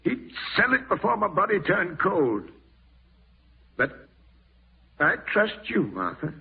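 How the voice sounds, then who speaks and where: On a thin, narrow-band old radio recording, a stern, ailing old uncle who owns a farm. His voice is very husky and rasping, with wide swings of pitch. He speaks in a deliberate, folksy, commanding way, in the style of 1940s radio drama. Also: sing-song, exaggerated voice. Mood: solemn, confiding. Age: older. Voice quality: gravelly, husky.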